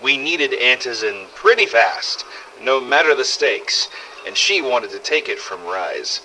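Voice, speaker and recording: A man playing a weary, sardonic, foul-mouthed survivor of a zombie apocalypse, recording expressive first-person audio logs in a game-style drama. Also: mid-range voice, a little slow, slight background noise, exaggerated voice, poor audio. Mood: wry recounting. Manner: sardonic, expressive, emphatic swearing.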